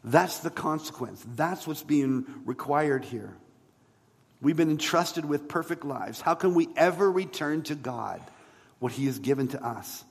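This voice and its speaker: A man's voice: on the high side, expressive, creaky, husky. Man preaching in a long, echoing hall. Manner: conversational preaching, rhetorical questions, emphatic pauses. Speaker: pastor preaching a sermon. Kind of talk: sermon.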